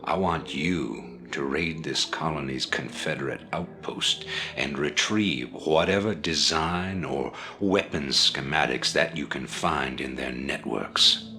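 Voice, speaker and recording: A stern, deep-voiced rebel leader. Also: male voice, pushed and bright, soft background music, exaggerated voice. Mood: commanding. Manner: formal, measured, authoritative. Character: stern rebel leader, middle-aged man, commanding. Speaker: rebel commander giving orders. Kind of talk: video game cutscene dialogue.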